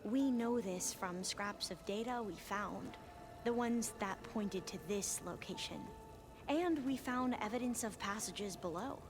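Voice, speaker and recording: Polite female researcher, voiced by an actress, video game, mid pitch, cautious, deferential, music behind. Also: lightly acted. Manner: polite, hesitant, careful explaining.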